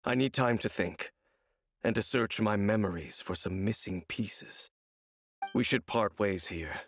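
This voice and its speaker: Gravelly, brooding game wanderer. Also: male voice, lowish voice, slight background noise. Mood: troubled. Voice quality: gravelly, husky. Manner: solemn, measured, cryptic. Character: brooding, secretive male wanderer, grave and world-weary. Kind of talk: video game voice acting.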